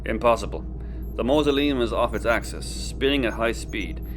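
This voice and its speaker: A man's voice: medium pitch, moderate pace, music in the background, close to an everyday voice. A man acting as a precise, stern, technical spaceship crew member in a sci-fi audio comedy. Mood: serious, precise.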